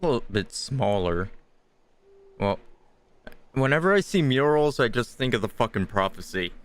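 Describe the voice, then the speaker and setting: A man's voice: medium pitch, lively intonation, moderate pace. A young man live-streaming a game with casual, offhand, rambling commentary and some swearing. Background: game music.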